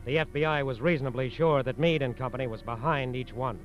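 An actor narrating a 1940s American radio crime drama as an earnest, authoritative narrator with formal, emphatic delivery. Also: male voice, mid-range voice, quick pace, close to an everyday voice. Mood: grave, authoritative. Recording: muffled old radio recording. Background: dramatic music.

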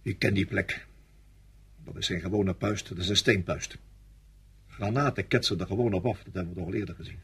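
A weary divisional general in a radio play. His voice is low and heavily rasping. He grumbles with gruff sarcasm while staying deferential to rank. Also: steady tone, a little fast, pauses often, fairly bright tone, exaggerated voice. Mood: weary, reluctant. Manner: gruff, sardonic, reluctant. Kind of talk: radio drama acting.

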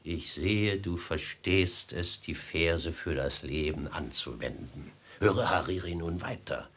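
Deep, oily, menacing robber chief. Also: male voice, takes it slowly, a bit bright, breathy edge, heavily acted. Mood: sententious, measured. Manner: unctuous, flowery, mock-friendly, theatrical. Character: smooth, menacing desert robber chief, falsely courteous host. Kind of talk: 1950s German radio drama acting.

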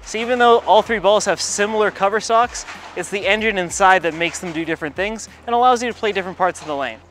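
A man's voice: high voice, quick pace, noisy background. A man in his thirties gives a casual bowling ball review in a large bowling alley, muttering play-by-play between throws. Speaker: pro shop ball reviewer.

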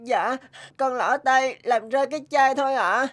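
A woman's voice: high-pitched, normal speed, lightly acted. A woman voicing a sweet, brave, sick little boy in a cartoon drama, childlike, earnest and polite. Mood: cheerful reassurance. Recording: music bed behind the voice. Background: music.

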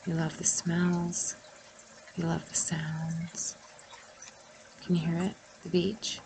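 A woman with a lowish voice leads a guided meditation. She speaks very slowly and soothingly, leaving long pauses. Heavy creak runs through her voice, and phrases often soften into a breathy near-whisper. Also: completely flat delivery, a little hiss or hum, poor audio.

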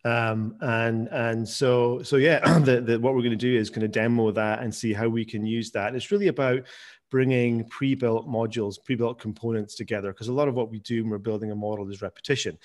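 A man's voice: medium pitch, fairly even intonation, a little fast. A man giving an informal webinar presentation over a video call, with frequent restarts and repeated words. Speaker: guest presenter, software founder.

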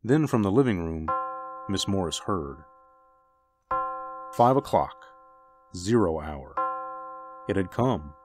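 Man acting as suspense narrator, low swooping voice. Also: expressive, takes it slowly, long pauses, over music. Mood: ominous. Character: narrator of an eerie suspense tale. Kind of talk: dramatic radio play narration.

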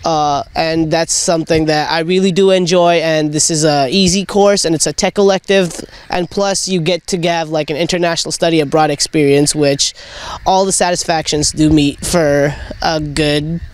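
A man student giving an upbeat testimonial outdoors into a handheld mic, talking in quick bursts. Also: a little high, steady tone, smooth. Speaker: exchange student.

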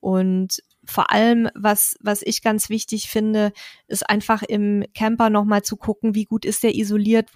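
A woman's voice: medium pitch, a little mellow, no room sound, studio quality. A woman in her thirties co-hosting a podcast, explaining things in a chatty way with fillers. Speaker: podcast co-host. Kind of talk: casual advice chat. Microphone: headset and studio mic.

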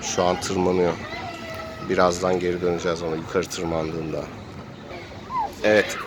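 A man's voice: low-pitched, level tone, moderate pace. A man acting as game master, narrating an improvised role-playing adventure in a dramatic play-by-play storytelling manner. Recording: faint street noise and background voices.

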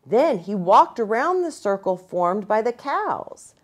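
A middle-aged American woman narrating a children's storybook in an expressive, deliberate way. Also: medium pitch. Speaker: pastor reading to children. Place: small furnished room, indoors.

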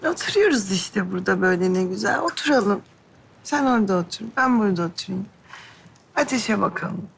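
Brief: weary, stubborn young woman in love, creaky voice, short repeated phrases, naturalistic TV drama, noisy rainy track. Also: medium pitch, expressive, fairly quick. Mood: wistful.

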